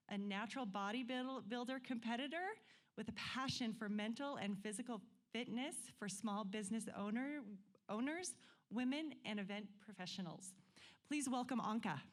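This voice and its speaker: A woman emcee formally reading a speaker introduction at a conference. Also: a little high. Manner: formal, reads from notes. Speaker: event emcee. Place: conference room with audience.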